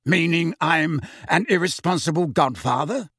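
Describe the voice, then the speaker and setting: A man's voice: sits high, very animated, unhurried, creaky, husky, no echo, studio quality, pitched above the performer's own voice, full character voice. A man voicing a defiant, impatient godfather in an audiobook, with a clipped, challenging delivery. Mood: indignant. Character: defiant, impatient adult godfather, cold and combative. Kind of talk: audiobook character voice.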